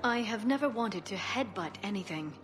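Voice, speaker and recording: A woman's voice: medium pitch, lively intonation, normal speed, lightly acted. Woman as prim, earnest young alien broker. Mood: exasperated. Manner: precise, indignant, reserved. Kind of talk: video game voice acting.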